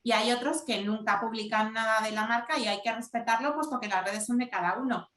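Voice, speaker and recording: A woman with a slightly high voice lays out her advice in a measured, explanatory way, circling back to stress her points. She speaks over a video call, and her voice rings a little in an echoey room. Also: a bit bright. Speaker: corporate communications lead. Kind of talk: webinar panel answer.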